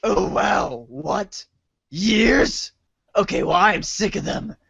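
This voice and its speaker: Man playing a high-voiced, excitable, exasperated boy. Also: gravelly, slightly rough, no room sound, full character voice. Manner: theatrical, prone to drawn-out wails. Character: excitable, exasperated, foul-mouthed boy prankster victim. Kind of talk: amateur comedic readers' theater acting.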